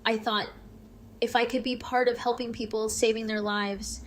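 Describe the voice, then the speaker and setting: A woman acts out an unsure teenage girl in an audio drama. Her voice is lightly high and quite flat in melody. She sounds shy and understated, phrasing things hesitantly and casually. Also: moderate pace, slight background noise, close to an everyday voice.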